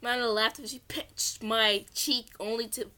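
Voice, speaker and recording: A woman's voice: fairly high pitch, steady tone, measured pace, bright, forceful tone. A woman reading a story aloud as an amateur, giggly, often stumbling over words and correcting herself. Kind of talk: read-along narration with character voices.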